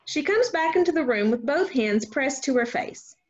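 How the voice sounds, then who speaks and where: A woman's voice: sits high, expressive, moderate pace. A woman reading stage directions as scripted narration for a play reading, on a dull online recording. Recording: dull lo-fi online call sound.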